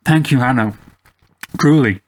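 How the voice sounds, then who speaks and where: A man's voice: moderate pace, fairly bright tone. A man voicing a proud, guarded young prince who speaks formally, in a fantasy storybook reading. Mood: earnest. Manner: formal, head-high declarations.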